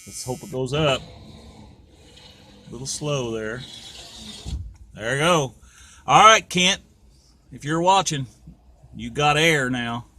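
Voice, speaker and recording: A man's voice: medium pitch, slow, pauses often, pushed and bright, slight background noise. An American man with a folksy, rambling, colloquial way of talking, giving casual hands-on commentary as he does DIY car repairs.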